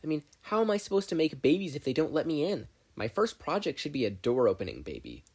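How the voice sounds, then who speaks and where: A performer voicing a high, brash teenage inventor girl. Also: sing-song, fast, husky. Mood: boastful, excited. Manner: loud, exasperated, boastful.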